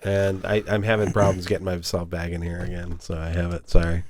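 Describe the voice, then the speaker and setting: A man's voice: low voice, completely flat delivery, a little fast, a little mellow. A man in his thirties hosting a podcast in a small studio, talking hesitantly with many restarts into a close mic. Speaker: podcast host. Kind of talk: casual chat. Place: small studio room, indoors.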